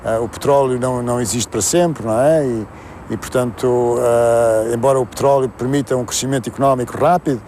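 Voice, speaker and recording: A man's voice: mid-range voice, fairly even intonation, a little fast, mellow, slight background noise. A man explaining hesitantly in an interview, often tagging on questions.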